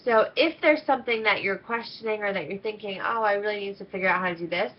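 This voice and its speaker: A woman's voice: medium pitch, quick pace. A woman coaching her team in a chatty, upbeat training talk, nasal and stuffed up with a cold. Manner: upbeat, chatty, many ums. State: congested, coming down with a cold. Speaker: team coach leading an internship. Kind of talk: casual video training talk. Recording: slightly dull lo-fi audio.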